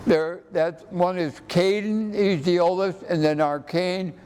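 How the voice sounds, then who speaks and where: Older American male interview guest, unhurried, repeats words as he reminisces, slightly high voice. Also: steady tone, a little mellow, clear, resonant tone. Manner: halting, often repeats words. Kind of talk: conversational reminiscing.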